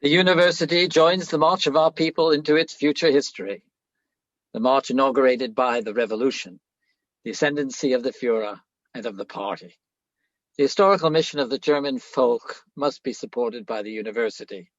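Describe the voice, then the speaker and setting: A man's voice: medium pitch, a little fast, no room sound, dull audio, natural voice. A man playing a stern, fanatical university rector declaiming a pompous formal speech in a radio play. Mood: fervent, stern.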